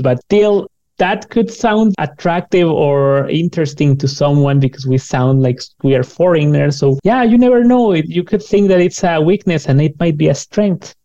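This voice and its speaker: A man's voice: a little high, mellow, dull audio. A man around forty with a Latin American Spanish accent, speaking conversationally as a podcast guest. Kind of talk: conversational interview.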